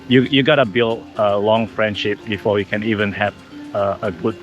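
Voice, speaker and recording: A young man from Indonesia speaks English with an Indonesian accent in a slightly low voice. He talks casually and restarts his sentences as he goes. Soft music plays faintly behind him. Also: fairly even intonation, moderate pace, highs cut off. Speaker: designer for a mission group. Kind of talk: interview. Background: soft background music.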